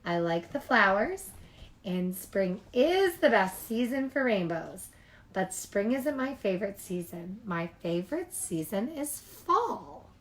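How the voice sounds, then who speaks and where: A woman voicing a kindly, reassuring mother bear from a children's storybook, gentle and measured. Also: mid-range voice, big pitch swings. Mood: fond.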